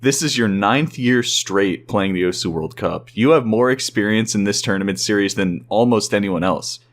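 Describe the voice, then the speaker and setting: A man's voice: fairly low pitch, moderate pace. A man working as an interviewer, putting prepared questions in a friendly, conversational way.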